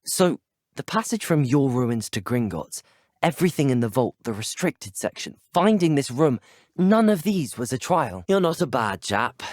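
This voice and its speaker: A young man playing an earnest, composed student wizard in a video game, speaking in a measured, articulate, polite way. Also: mid-range voice, no room sound, studio quality. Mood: questioning, earnest. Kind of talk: video game character dialogue.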